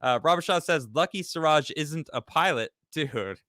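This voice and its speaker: A man from Chicago hosting a casual live-stream talk show. He laughs often and reads viewer comments aloud in a lively voice. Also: fairly high pitch, moderate pace, dry recording, studio quality. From Chicago, United States.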